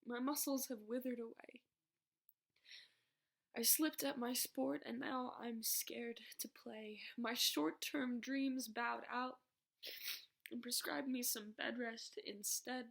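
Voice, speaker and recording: A young woman performing slam poetry in an emotional, vulnerable, rhythmic delivery that drops into hushed phrases. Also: on the high side, fairly even intonation, slow, gravelly. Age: young adult. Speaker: slam poet.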